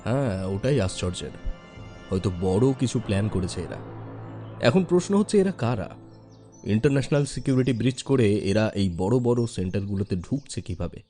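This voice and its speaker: Man acting a mellow, thoughtful family friend. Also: fairly low pitch, expressive, brisk, soft background music, close to an everyday voice. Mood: thoughtful, concerned. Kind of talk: audio story acting.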